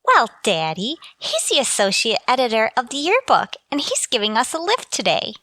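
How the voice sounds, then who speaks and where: Woman playing an earnest, high-voiced teenage daughter. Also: huge pitch swings, moderate pace, pushed and bright, no echo, heavily acted. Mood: protesting, earnest. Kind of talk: old-time radio comedy acting.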